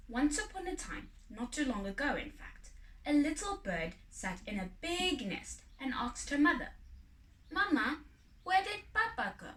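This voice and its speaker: A woman narrating a children's folk tale expressively, with deliberate, dramatic phrasing and pauses. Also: fairly high pitch. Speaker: storybook reader. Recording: echoey room, distant microphone.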